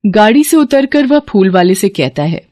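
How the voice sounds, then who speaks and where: A woman's voice: medium pitch, big pitch swings, moderate pace, darkish tone. A woman giving scripted narration of a moral story.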